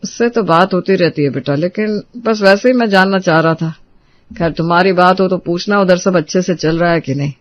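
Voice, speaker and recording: A man playing an affectionate, reassuring middle-aged father in a Hindi family drama, speaking gently to his family. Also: high voice, a little fast, highs cut off, close to an everyday voice. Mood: concerned.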